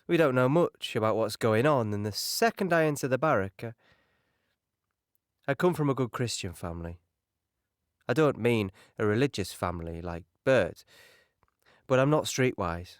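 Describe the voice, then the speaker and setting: An actor playing an earnest, naive young British soldier, plain-spoken and a little hesitant, in an audio drama monologue. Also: male voice, mid-range voice, clean tone, dry recording, studio quality, natural voice. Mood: candid. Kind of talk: dramatic monologue recounting wartime memories.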